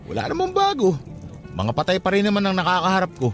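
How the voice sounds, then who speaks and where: A man's voice: sits high, huge pitch swings, moderate pace, fairly bright tone, soft background music, heavily acted. An actor playing a brash young man who teases and mocks his cousin in a radio drama. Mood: teasing. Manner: teasing, brash, mocking. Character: cocky, skeptical young funeral-parlor worker teasing his cousin. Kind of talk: radio drama dialogue, casual banter.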